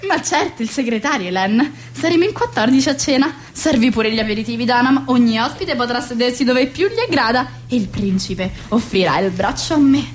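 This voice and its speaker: A woman's voice: a little high, lively intonation, fairly quick, hardly any pauses, a little hiss or hum, lightly acted. A woman playing a fussy, theatrical middle-aged society hostess and mother in a radio comedy set in the 1920s. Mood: elated, grand. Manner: theatrical, exasperated, grandiose. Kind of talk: radio play acting of a 1920s stage comedy.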